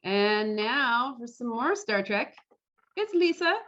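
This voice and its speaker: A woman with a highish, very animated voice hosts an online gathering in a casual way. Her pace is unhurried, and the sound is slightly dull, as heard over a video call. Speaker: host of an online costume parade. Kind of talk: casual live event hosting. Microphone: computer mic on a video call.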